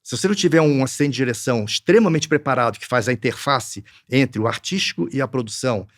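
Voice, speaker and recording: A man's voice: medium pitch, lively intonation, fast, no room sound, studio quality. A man with a Brazilian Portuguese accent, a film producer answering interview questions earnestly, with frequent restarts and fillers. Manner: hesitant, restarts a lot, earnest.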